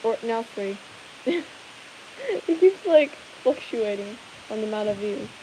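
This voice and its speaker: A young woman with a slightly high voice chats loosely on a livestream, giggling and rambling between viewer questions. A steady hiss sits under her voice throughout the recording. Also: unhurried, low-quality recording. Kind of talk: casual live Q&A chat. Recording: hissy background noise.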